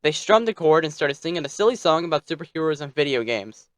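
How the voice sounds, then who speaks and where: A man's voice: fairly high pitch, animated, quick pace, fairly bright tone, dry recording. A man telling a bedtime story as narrator, theatrical, with playfully snippy asides.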